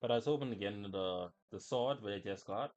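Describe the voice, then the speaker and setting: A man's voice: fairly low pitch, fast talker, a little mellow, lo-fi sound. A man chatting casually on a gaming livestream in a slangy, informal way, talking to his viewers. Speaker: game livestreamer.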